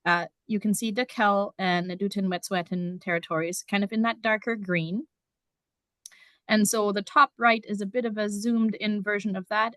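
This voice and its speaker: German-born teacher explaining, dry sound. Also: female voice, mid-range voice, a bit bright, dry recording. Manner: casual and explanatory, with frequent ums. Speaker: district numeracy teacher. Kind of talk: conference presentation.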